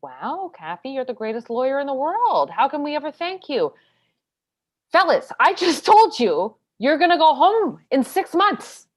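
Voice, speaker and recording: Woman acting exasperated female military lawyer, high voice, very animated, sarcastic, insistent, stage play reading. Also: normal speed. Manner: hard-hitting, insistent questioning with sarcasm. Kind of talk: stage play read-through.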